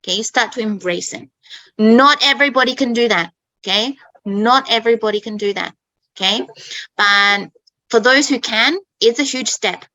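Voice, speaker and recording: A woman in her thirties speaks in a chatty, conversational way, often checking in with "okay?". Her voice is bright and a little high. The sound is dry and close, with no room echo. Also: normal speed. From Australia. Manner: chatty, keeps adding "okay?". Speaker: speaker in an English-learning talk. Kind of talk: personal story told to learners.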